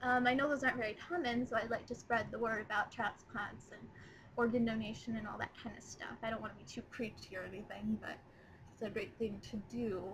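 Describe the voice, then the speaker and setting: A woman's voice: a little high, a bit bright, a little hiss or hum, rough recording. A nineteen-year-old woman chatting in a rambling, casual first video blog, recorded on her laptop's webcam mic. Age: 19, young adult. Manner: chatty, rambling, lots of ums. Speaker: vlogger.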